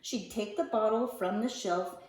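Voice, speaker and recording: A woman reading a picture book aloud to young children, expressively, with deliberate phrasing and drawn-out key words. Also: mid-range voice, a little mellow. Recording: roomy echo, distant mic.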